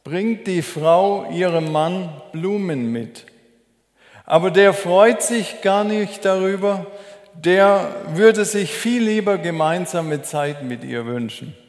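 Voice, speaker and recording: A man preaching a sermon as a preacher, in a measured, deliberate, explanatory way. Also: high-pitched. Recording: echoey hall with long reverb.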